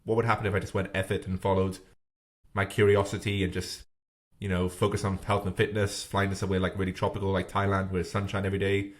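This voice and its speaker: A man in his thirties hosts a podcast in a low, easy voice. He thinks aloud, pausing on "uh" and feeling his way through each sentence. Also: flat delivery. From Wales. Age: 30s. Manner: thoughtful, hesitant, many "uh"s. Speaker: podcast host. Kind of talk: casual podcast interview.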